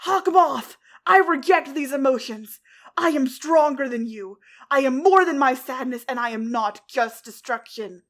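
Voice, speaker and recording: A woman voicing an anguished young male superhero who fell into destruction, often speaking in a hushed whisper. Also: on the high side, slow, long pauses, husky. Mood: defiant shout.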